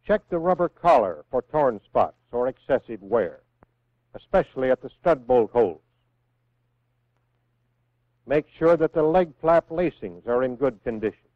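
An American man reading scripted instructions in a measured, clearly enunciated way, as the narrator of a 1960s military training film. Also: medium pitch, dry recording. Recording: phone-like vintage 1960s film soundtrack.

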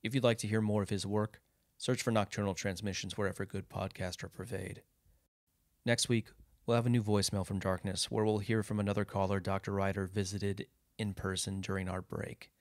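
A man's voice: fairly low pitch, level tone, fairly quick, no room sound, clean studio sound. A man reading the closing credits of an audio drama podcast in a plain, informative way. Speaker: podcast announcer. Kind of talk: scripted outro announcement.

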